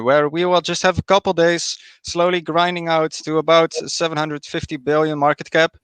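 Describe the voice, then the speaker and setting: A man with a Dutch accent, a guest trader calmly explaining market charts on a live stream. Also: fairly high pitch, clean tone, no room sound. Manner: measured, explanatory, confident.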